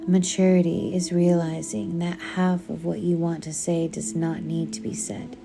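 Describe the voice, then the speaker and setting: Slow, low-pitched woman reading with creaky voice. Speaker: book reader. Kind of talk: slow soothing audiobook-style reading. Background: background music.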